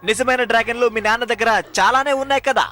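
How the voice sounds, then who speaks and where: A man voicing an eager, chirpy young gadget-tinkering sidekick in a dubbed animated series. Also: very high-pitched, fast, faint music behind. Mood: excited, chatty. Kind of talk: animated series dub acting.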